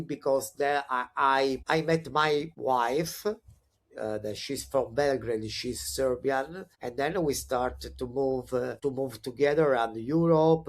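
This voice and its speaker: Man, 40s, Italian accent, native Italian speaker, podcast guest, conversational, hesitant with fillers, middle pitch. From Italy. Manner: hesitant, many fillers and restarts; upbeat and animated when excited. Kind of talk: conversational interview storytelling.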